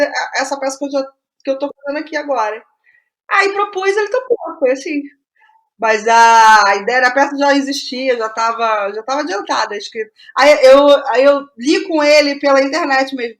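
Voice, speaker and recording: A woman with a high voice and a Brazilian accent speaks as a guest in a conversational interview. She is reflective and informal, circling back on her own phrases as she explains how she works. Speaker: playwright guest. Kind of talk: conversational interview about creative process.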